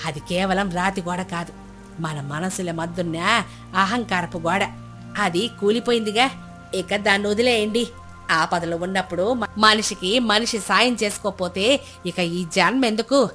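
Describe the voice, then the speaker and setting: A woman's voice: medium pitch, unhurried, fairly bright tone, close to an everyday voice. A woman voicing a lonely, kind-hearted elderly village neighbour in a moral story, with a homely, reassuring way of talking. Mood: caring, insistent. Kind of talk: moral storybook character dialogue. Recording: music bed under the voice.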